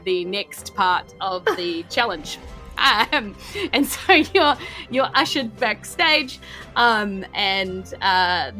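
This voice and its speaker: A woman with a New Zealand accent improvising narration as a tabletop game master in a conversational, halting way. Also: mid-range voice, sharp tone. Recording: faint music behind the voice. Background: faint background music.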